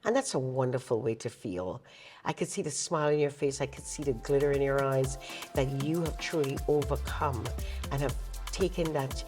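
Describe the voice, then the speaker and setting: A woman hosting a talk show interview in an affirming, expressive way. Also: extremely low pitch, moderate pace, music in the background.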